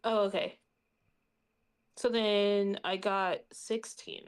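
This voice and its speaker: A woman's voice: medium pitch, steady tone, slow pace, clean tone. A woman chatting casually as a player in a tabletop game, sounding unsure and questioning.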